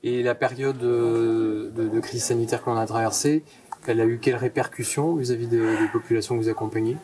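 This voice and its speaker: A man's voice: medium pitch, steady tone, a little fast, distant mic. A man asking interview questions conversationally, searching a little for his phrasing, recorded slightly away from the microphone. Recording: off-mic, crowd murmur behind.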